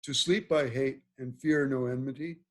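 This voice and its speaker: A man's voice: mid-range voice, steady tone, moderate pace, close to an everyday voice. A man playing a noble, commanding duke in a Shakespeare table read, speaking formal, declamatory verse. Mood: genial, questioning. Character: noble duke, commanding and at ease, ordering his hunt. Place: video call.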